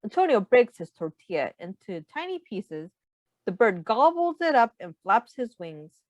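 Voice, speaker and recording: A woman reading a picture book aloud to young children, expressively, pausing to ask simple guiding questions, on a slightly dull recording. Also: medium pitch, very animated, a little mellow, dry recording.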